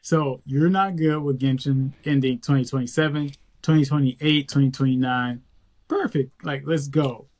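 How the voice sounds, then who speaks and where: A man's voice: mid-range voice, steady tone, moderate pace, a little mellow. A man chatting casually as a podcast co-host. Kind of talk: casual chat.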